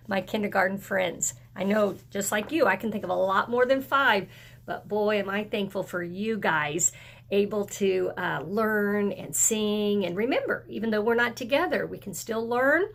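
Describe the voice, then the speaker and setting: A woman teaching young children as a kindergarten teacher, speaking deliberately and encouragingly, with questions and pauses for answers. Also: mid-range voice, creaky. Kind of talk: video lesson and read-aloud for young children.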